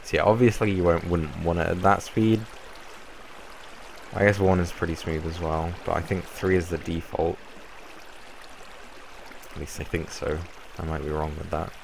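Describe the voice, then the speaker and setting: A young man with a croaky voice from a cold, casually explaining a game build in a rambling way. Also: deep voice, moderate pace, pauses often, slight background noise. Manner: relaxed, rambling explanation with fillers. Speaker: Minecraft YouTuber. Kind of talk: casual gameplay tutorial.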